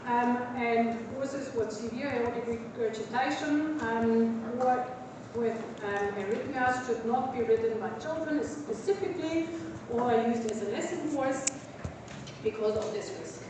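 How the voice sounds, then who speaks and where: A woman with a German accent lectures in a high voice, walking students through a case with frequent ums and likes. She is heard from a distance in a large, echoing hall, with noise hanging in the room. The lecture is relaxed and explanatory. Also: unhurried. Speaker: veterinary lecturer. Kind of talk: university lecture. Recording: echoey hall, voice from far off.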